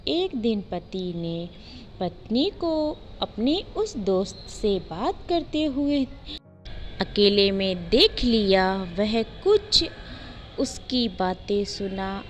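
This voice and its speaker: A woman narrating a scripted moral story in simple, deliberate short phrases. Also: mid-range voice.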